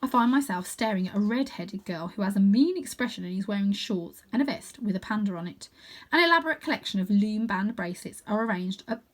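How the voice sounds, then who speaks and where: A woman reading a children's novel aloud in a dramatic, expressive storytelling style. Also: medium pitch, brisk. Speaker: storybook reader.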